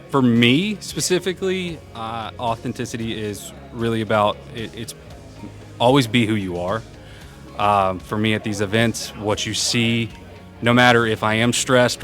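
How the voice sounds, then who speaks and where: A young man with a loud voice, answering interview questions casually at a conference and laughing often. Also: on the low side. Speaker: event program manager, interview guest. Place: conference venue.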